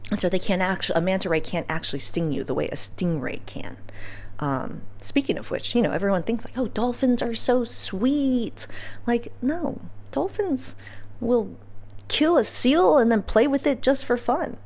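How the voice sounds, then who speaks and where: A woman's voice: fairly high pitch, moderate pace, a little mellow. A woman hosting a podcast, telling personal stories in a casual, candid way with informal slang and emphatic phrasing. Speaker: podcast host.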